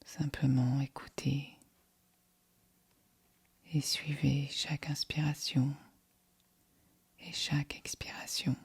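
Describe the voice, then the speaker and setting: A woman guiding a yoga nidra meditation in a soft, breathy, whispery voice, calm and soothing. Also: a bass voice, dry recording. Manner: calm, soothing, measured delivery. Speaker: meditation guide.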